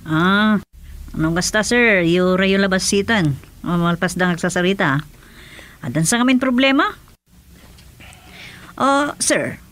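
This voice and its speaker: A woman's voice: medium pitch, animated, measured pace, pauses often, fairly bright tone. An actress playing a polite, deferential female receptionist in an Ilocano radio drama. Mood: courteous, hesitant.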